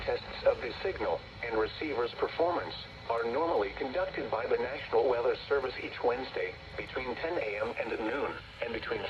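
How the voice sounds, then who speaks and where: Male weather radio announcer, formal, measured, scripted test message, dull radio sound, clear background noise. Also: mid-range voice. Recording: muffled, noisy weather radio broadcast.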